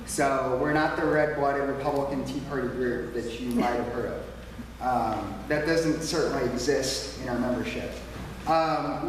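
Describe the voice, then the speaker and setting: A man's voice: on the high side, a little hiss or hum. A man with a big projecting voice making an emphatic debate speech in a small church meeting room. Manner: emphatic, plain-spoken, repeats slogans. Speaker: debate speaker for a taxpayers' group. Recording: echoey small hall, from far off.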